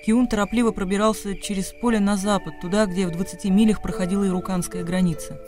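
A man's voice: high-pitched, fairly even intonation, fast talker, over music. A man delivering dramatic scripted narration as the narrator of a radio play.

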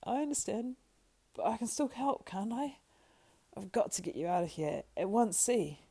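A woman narrator plays a faithful hobbit servant from a fantasy storybook, earnest and practical. He speaks in humble, rustic turns of phrase, with a mellow, creaky grain to the voice. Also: a little high, lively intonation, normal speed, voice pushed up. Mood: reassuring, practical. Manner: deferential, homely rustic phrasing.

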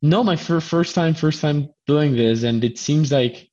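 A man's voice: a little high, measured pace, a little mellow, a little hiss or hum. A man from Colombia with a Colombian Spanish accent, hosting a casual online chat over a video call and often stammering into restarts. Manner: chatty, stammering restarts, self-deprecating. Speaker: host. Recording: dull lo-fi video call audio.

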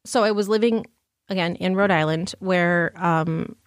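A woman's voice: lowish voice, a little slow, no echo, clean studio sound. A woman from Michigan, a guest in a casual interview, talking in a chatty way with lots of "like" and frequent laughs. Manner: chatty, laughs often, many "like" fillers.

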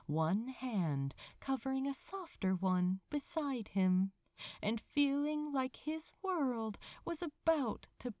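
A woman narrating a scripted story expressively, in a tender, unhurried storytelling manner with deliberate pauses. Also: medium pitch, darkish tone, no echo, studio quality. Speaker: audiobook-style fan fiction reader.

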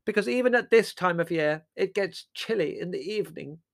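A dotty elderly aunt, high in pitch. She is placid, cheerful and sweetly oblivious, a vague old relative in a comic storybook. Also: animated, fairly quick, creaky. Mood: beaming, oblivious.

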